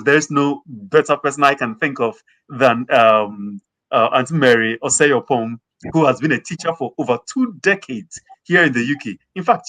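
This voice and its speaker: A man's voice: on the high side, fairly bright tone, rough recording. Earnest man hosting an interview. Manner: earnest, many fillers like "you know" and "um". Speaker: host and interviewer.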